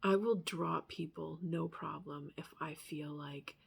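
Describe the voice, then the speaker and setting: A woman giving a casual, rambling tarot reading in a candid, musing manner, sometimes trailing off into hushed asides. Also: low voice, fairly even intonation, a little slow, gravelly, breathy edge. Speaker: tarot reader.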